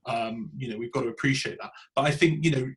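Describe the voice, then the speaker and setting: A British man hosts a relaxed group conversation at a medium pitch. He feels his way through sentences in a hesitant, halting manner. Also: a little hiss or hum, rough recording. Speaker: podcast host. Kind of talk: casual group discussion.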